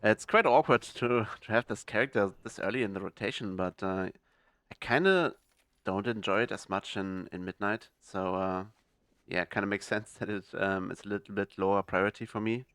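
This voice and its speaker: A man's voice: lowish voice, normal speed, fairly bright tone, no room sound. A young man hosting a gaming video, talking through his choices in a casual, hesitant way with lots of fillers and restarts. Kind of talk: casual gameplay commentary.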